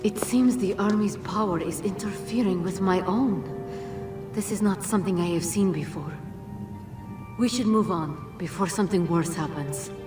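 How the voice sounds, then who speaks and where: A woman voicing a solemn, regal, ancient female djinn in a video game, speaking in a measured, formal way. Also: medium pitch, lightly acted. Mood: wistful, grave. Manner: measured, formal, grave delivery. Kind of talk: dramatic video game cutscene acting. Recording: roomy echo, distant, music underneath.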